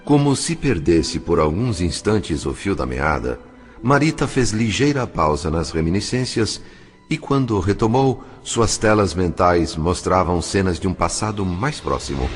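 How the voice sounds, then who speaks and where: A man playing the solemn narrator of an audio novel, reading literary prose in measured phrases. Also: sits low, expressive. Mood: solemn, reflective. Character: solemn male narrator of a spiritual family saga. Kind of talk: scripted dramatic narration. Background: faint music.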